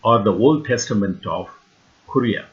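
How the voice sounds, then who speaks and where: Low-pitched man with a Tamil Indian accent. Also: animated, normal speed. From India, Tamil region. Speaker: researcher and lecturer. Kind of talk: scripted lecture narration. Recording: dull, lo-fi voice recording.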